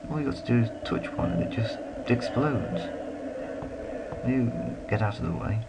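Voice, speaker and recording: A man's voice: mid-range voice, lively intonation, moderate pace, very noisy. A man giving rambling, casual commentary while playing a strategy game, full of self-deprecating asides. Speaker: let's play commentator.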